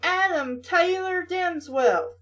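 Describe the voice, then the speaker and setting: Woman acting a high-pitched, scolding Southern mom. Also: takes it slowly, fairly bright tone, far from the mic, full character voice. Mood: stern, angry. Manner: scolding, emphatic. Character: stern, fed-up aunt scolding her son, loud.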